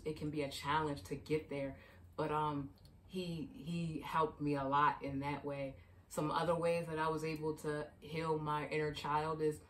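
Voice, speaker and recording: Woman from baltimore, deep-ish voice, chatty storyteller, keeps saying you know what i mean. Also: far from the mic, slight background noise. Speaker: life coach. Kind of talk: casual advice vlog.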